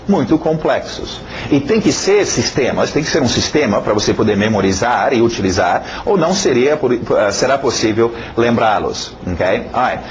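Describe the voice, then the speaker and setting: Animated male teacher. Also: medium pitch, a little hiss or hum, poor audio. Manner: animated, repeats words for emphasis, frequent check-in tags. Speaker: English teacher. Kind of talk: informal spoken lesson.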